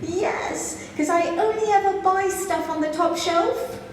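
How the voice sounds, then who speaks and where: High, playful woman comic in an echoey club. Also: measured pace, a little hiss or hum. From Zambia. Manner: playful, giggles at her own jokes. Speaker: stand-up comedian. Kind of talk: stand-up comedy set. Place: comedy club stage. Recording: echoey club sound from far off.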